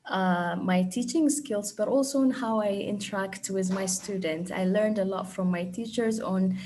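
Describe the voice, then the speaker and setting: A woman from Ethiopia, a program alumna, speaking earnestly with many pauses and fillers in an online info session. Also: medium pitch, warm tone. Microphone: video call.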